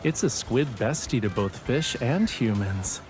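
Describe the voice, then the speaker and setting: Man voicing a teasing, lively young boyfriend. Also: mid-range voice, a little slow, over music, natural voice. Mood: affectionate, playful. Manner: teasing, playful, smug. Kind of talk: romantic game audio drama acting.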